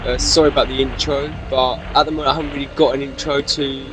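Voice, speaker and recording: A British young man in his late teens giving hesitant, monotonous gameplay commentary, full of ums and restarts. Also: medium pitch, fast, very noisy. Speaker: gaming YouTuber. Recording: loud game gunfire behind. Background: loud video game gunfire and explosions.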